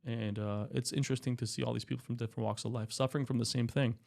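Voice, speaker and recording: A man in his thirties, a doctor and podcast guest, explaining his work in a relaxed, chatty way with many fillers. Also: mid-range voice, very fast, gravelly. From Spain, raised in California. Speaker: anesthesiologist guest. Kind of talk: casual interview talk.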